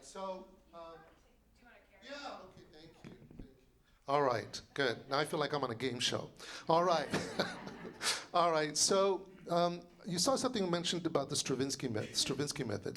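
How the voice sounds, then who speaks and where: A man in his sixties, a composer and librettist, giving a loud, rambling informal lecture full of fillers and restarts. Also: fairly high pitch. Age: 60s.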